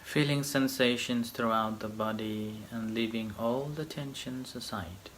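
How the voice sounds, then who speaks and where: Slow-spoken male meditation teacher. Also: mid-range voice, fairly bright tone, a little hiss or hum. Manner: halting short phrases with long pauses. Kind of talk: guided meditation, slow gentle instruction.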